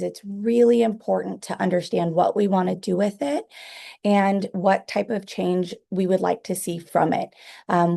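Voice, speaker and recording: Hoarse woman with a gravelly, low voice. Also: warm tone. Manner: careful, deliberate delivery. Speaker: guest presenter. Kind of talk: presenting a talk. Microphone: video call.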